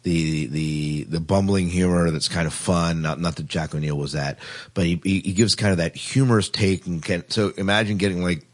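A man's voice: sits low, fairly even intonation, normal speed. A man hosting a casual podcast chat about television, informal and enthusiastic in the way he talks. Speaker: podcast host.

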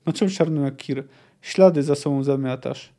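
A terrified old beggar mutters a superstitious verse at a killer in this audiobook reading, read by a man. The voice is low and hushed, with a mellow, soft tone. It sounds fearful and mumbling. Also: moderate pace, close to an everyday voice.

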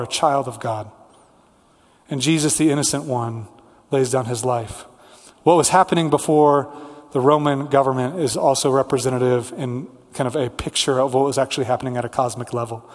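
Male preacher in a reverberant hall. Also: medium pitch. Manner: earnest, rhetorical repetition and listing. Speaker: pastor preaching to a congregation. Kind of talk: sermon with prayer and scripture reading. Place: large reverberant church room.

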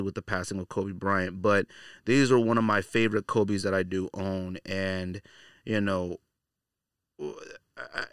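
Guy doing a podcast off the cuff, blunt and slangy, bright punchy voice, really dry sound. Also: fairly low pitch, dry recording. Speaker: sneaker podcast host. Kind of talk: casual, off-the-cuff podcast monologue.

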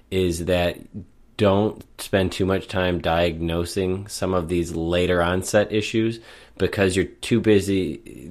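A man co-hosting a running podcast in casual chat, hoarse and a little off from a lingering sinus illness. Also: low voice. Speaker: podcast co-host.

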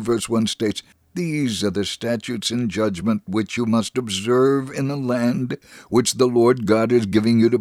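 A man reading a religious first-person story as scripted narration, in an earnest, solemn and measured storytelling manner. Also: on the low side, no echo, clean studio sound.

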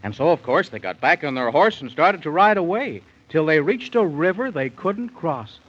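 Male storyteller for vintage children's radio, lively swooping delivery, highish pitch, folksy rhymes. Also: normal speed, slightly rough. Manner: theatrical, folksy, sing-song rhymes. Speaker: storyteller narrator. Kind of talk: rhyming 1930s radio bedtime storytelling. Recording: dull 1930s radio recording.

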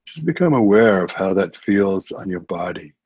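A man with a low-pitched voice leads a guided meditation. He gives gentle instructions and leaves long, unhurried pauses between phrases. The recording is dry and somewhat muffled. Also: big pitch swings, slightly rough, no room sound. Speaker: meditation teacher. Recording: muffled, dull lo-fi audio.

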